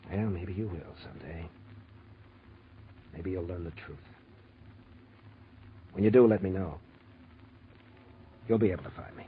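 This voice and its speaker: Brooding young male intern, deep and bitter, vintage radio drama with audible background noise. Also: unhurried, pauses often. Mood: bitter, wounded. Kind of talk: 1940s radio acting. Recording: muffled, noisy old radio broadcast.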